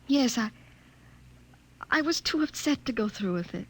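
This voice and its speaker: Earnest, breathy young woman scientist. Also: fairly high pitch, lively intonation, measured pace, exaggerated voice. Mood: anxious, pleading. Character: earnest, troubled young scientist woman discovering forbidden feeling. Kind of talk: 1950s radio drama acting.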